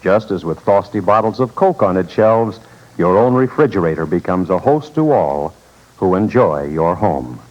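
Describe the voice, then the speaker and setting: A man's voice: low-pitched, lively intonation, moderate pace, a little hiss or hum. An American man reading scripted ads and introductions as a 1940s radio announcer. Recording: dull 1940s radio broadcast.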